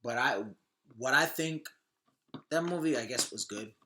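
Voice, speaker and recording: A man's voice: mid-range voice, unhurried, bright, forceful tone. A man chatting casually as a podcast co-host, informal and sweary, often repeating himself. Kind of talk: casual chat about movies.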